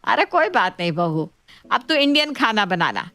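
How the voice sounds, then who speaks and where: A woman's voice: mid-range voice, very animated, moderate pace, bright, forceful tone, dry recording, clean studio sound. A woman playing a mild, indulgent older mother-in-law soothing the family in a story. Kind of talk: story dialogue.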